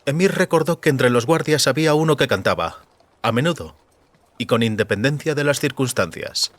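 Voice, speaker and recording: A man's voice: mid-range voice, animated, normal speed, a bit bright, no echo. A man reading scripted fantasy fiction as an audiobook narrator.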